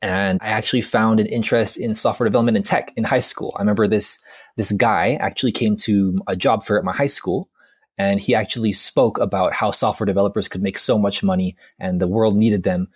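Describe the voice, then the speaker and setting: A man's voice: lowish voice, fairly even intonation, brisk, breathy edge, no echo, clean studio sound. A man in his twenties from Hawaii, a guest on an interview podcast, talking casually in a rambling, self-deprecating way with lots of fillers. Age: 20s. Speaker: guest, mindset coach.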